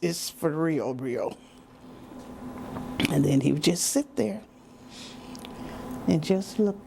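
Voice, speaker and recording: A woman's voice: low voice, animated, slow pace, vocal fry. A woman talking reflectively and off the cuff. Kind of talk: unscripted reflective talk. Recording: noisy room with background ambience.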